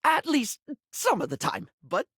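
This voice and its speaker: A man's voice: very high voice, huge pitch swings, unhurried, a bit bright, no echo, studio quality, full character voice. A man voicing a flamboyant, scatterbrained, affectionate beauty-product character in a video game, with a theatrical, gushing and rambling delivery that stammers at times. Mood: earnest, hopeful.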